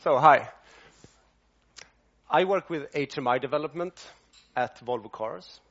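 A man's voice: fairly high pitch, unhurried, fairly bright tone, muffled recording. A man with a Swedish accent giving a presentation as a design lead, explaining things step by step with a light joking touch. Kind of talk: presentation to an audience.

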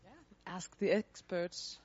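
A woman moderating a workshop Q&A, brisk and lightly joking. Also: darkish tone, lo-fi sound. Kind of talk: running a Q&A session.

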